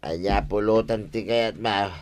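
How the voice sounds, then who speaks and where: A man with an Ilocano Filipino accent playing an easygoing, hard-drinking village husband in a radio comedy drama, folksy and excitable. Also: on the low side, measured pace, clean tone, close to an everyday voice. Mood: casual, cajoling.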